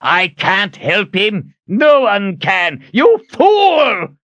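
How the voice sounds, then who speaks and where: A man's voice: medium pitch, sing-song, slow pace, no room sound, highs cut off, pitched above the performer's own voice, heavily acted. A man voicing an old wizard grandfather with an intense, brooding manner and an explosive temper, in a dramatic audiobook reading. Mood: raging.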